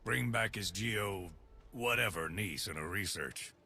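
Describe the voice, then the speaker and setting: A blunt, wry foreman turned militiaman for a video game. The voice is low and forceful with plenty of grit, over faint background noise. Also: measured pace, full character voice. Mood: gruff, resolute. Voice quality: gravelly. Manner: blunt, sardonic, plain-spoken. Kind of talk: video game cutscene acting.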